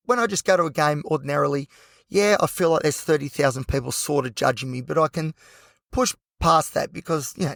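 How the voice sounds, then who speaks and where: An Australian man hosting a solo podcast with a hoarse, croaky voice, talking passionately and rambling with lots of fillers. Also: on the high side, fairly bright tone, no echo, studio quality. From Newcastle, New South Wales, Australia. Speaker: podcast host.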